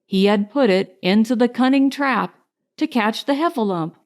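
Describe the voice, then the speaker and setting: A woman narrating a children's storybook in a measured, expressive storytelling manner. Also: fairly high pitch. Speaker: audiobook narrator.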